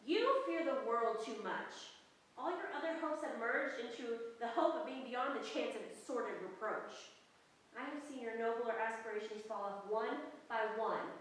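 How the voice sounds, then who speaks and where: A woman's voice: fairly high pitch, moderate pace, close to an everyday voice. A woman playing a sorrowful, resolute young fiancée in a staged reading, grave and reproachful. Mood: sad reproach. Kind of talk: staged dramatic reading before an audience. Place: small hall stage, distant camera, audience present. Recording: echoey hall from far off, faint hiss.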